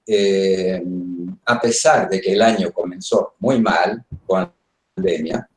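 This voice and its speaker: A man with a fairly low voice speaks as a guest lecturer in an informal, reflective way. He pauses between phrases, and his voice comes with clear room echo. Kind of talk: informal spoken lecture opening. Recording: roomy, echoing online call.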